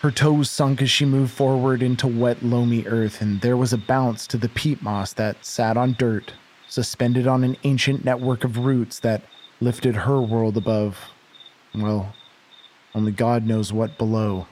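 A man narrating a scripted horror audio drama like a folksy storyteller, in a deliberate way. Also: mid-range voice, little pitch movement, darkish tone, a little hiss or hum.

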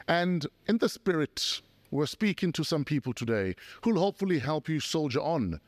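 A male podcast host interviews his guest with teasing jokes and quick asides. His pitch swings widely as he talks. The sound is dry and close to the mic. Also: very animated, no room sound. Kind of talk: casual interview chat.

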